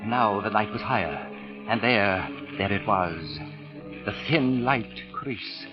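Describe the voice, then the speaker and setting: An actor playing a suave, self-satisfied crime writer in a 1940s radio mystery, speaking precisely and theatrically with savored pauses. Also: male voice, on the low side, expressive. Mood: ominous, suspenseful. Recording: dull old radio recording with music.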